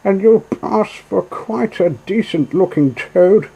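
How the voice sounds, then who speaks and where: A man's voice: high-pitched, slow pace, creaky, dark tone, slightly rough, voice pushed up, full character voice, poor audio. A man voicing a fat, mocking, brash barge woman from a storybook, jeering and scolding. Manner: gasping, exclamatory scolding and jeering. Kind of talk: storybook character voice in an audiobook reading.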